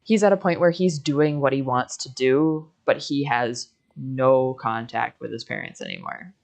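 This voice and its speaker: A young woman talks casually, as if to a friend on a livestream. She tells stories in an animated, conversational way, slipping into quotes of what people said. Her pitch sits in the middle for a woman or a little below. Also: a little slow, vocal fry. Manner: animated storytelling, quoting conversations.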